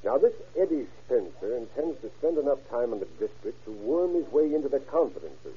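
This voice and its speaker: A man's voice: mid-range voice, sing-song, fairly quick, mellow, breathy edge. An American man playing a confident, easygoing police captain in a radio crime drama, talking in a folksy, assured way. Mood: confident, jovial. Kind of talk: old-time radio crime drama acting. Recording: narrow, tinny vintage radio broadcast.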